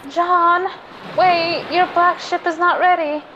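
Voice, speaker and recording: A devoted young sweetheart, acted by a woman, very high in pitch and slow to speak. She is earnest and melodramatic, in radio-play style with faint noise behind. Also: flat delivery, sharp tone, highs cut off, heavily acted. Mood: pleading, distressed. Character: devoted, lovestruck young woman, gentle and pleading. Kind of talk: recreated old-time radio drama acting.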